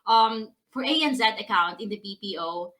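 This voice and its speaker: Bright bubbly woman host. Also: mid-range voice, lively intonation, moderate pace. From Philippines. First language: Hiligaynon. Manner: bubbly, effusive, laughs often. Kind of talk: casual video-call interview.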